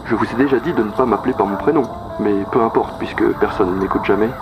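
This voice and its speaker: Man playing fast, low, grumpy news anchor. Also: over music, close to an everyday voice, low-quality recording. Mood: sullen. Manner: curt, weary, sulky. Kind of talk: parody news broadcast.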